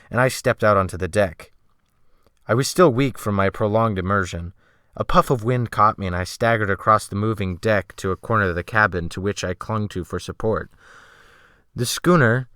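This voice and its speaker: Low-voiced man reading a classic novel. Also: a bit bright, clear, resonant tone, no echo, studio quality. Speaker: audiobook narrator. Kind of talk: dramatic literary narration.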